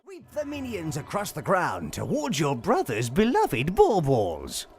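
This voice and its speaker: Croaky, sly minion advisor, wildly swooping. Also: male voice, fairly high pitch, huge pitch swings, measured pace, full character voice. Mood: sly, instructing. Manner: obsequious, sardonic, exclamatory. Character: sly, cackling old minion advisor creature, servile yet gleefully wicked. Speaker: guide and advisor to a young evil lord. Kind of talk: theatrical fantasy video game acting. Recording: voice over loud game music.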